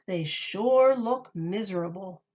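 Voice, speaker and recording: A woman's voice: mid-range voice, slow pace, a little mellow, highs cut off, lightly acted. A woman voicing an eager, earnest male zoo assistant in a children's storybook. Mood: deferential.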